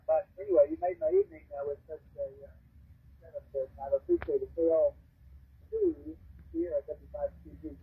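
An American man chatting casually as a radio amateur, halting and folksy, heard over a shortwave radio. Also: fairly high pitch, slightly rough. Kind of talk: casual ham radio chat. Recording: narrow, garbled shortwave radio reception. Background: radio transmission heard through a speaker.

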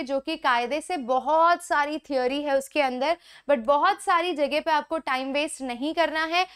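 Young Indian woman, high pitch, chemistry teacher talking to camera, emphatic and encouraging, clip-on mic. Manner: encouraging, emphatic, mixes Hindi and English; gestures with both hands while talking. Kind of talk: exam-prep lesson to camera. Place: indoor studio before a digital whiteboard.

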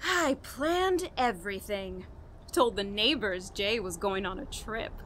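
A woman's voice: medium pitch, animated, takes it slowly, a bit bright, a little hiss or hum. An actress playing a scheming, guilt-ridden murderous woman who confesses and argues in a radio horror drama. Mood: gloating, scheming.

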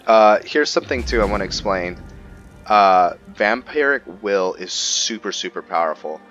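Male gamer commentator, deep but forward tone, thoughtful and halting, faint music behind. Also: expressive, fairly bright tone. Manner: musing, halting. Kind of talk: casual game commentary. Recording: dull lo-fi mic, faint music. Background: faint game music.

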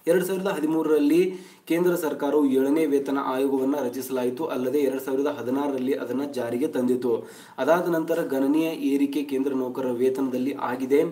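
A man's voice: medium pitch, warm tone. A man giving a news explainer as a voice-over, reading from an article and mixing English words into his talk. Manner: reads aloud, mixing English words into Kannada. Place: voice-over over a screen recording.

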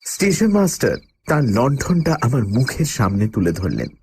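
Plucky proud traveller, lively pitch swings, dramatic first-person ghost-story narration, faint noise behind. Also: male voice, moderate pace. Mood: suspenseful.

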